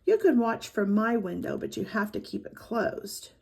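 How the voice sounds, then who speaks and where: A woman's voice: mid-range voice, very animated, normal speed, creaky, a little mellow, husky, heavily acted. A woman reading the part of a bossy, scornful eleven-year-old sister in a snappy, know-it-all way for a children's storybook.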